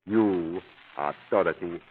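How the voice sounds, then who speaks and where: An actor playing a domineering criminal hypnotist, menacing and condescending, in 1930s radio villain style. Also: male voice, low voice, slow pace, breathy edge, exaggerated voice. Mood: controlled menace. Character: smooth-talking, domineering criminal hypnotist, megalomaniac man. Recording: tinny, band-limited 1930s radio recording.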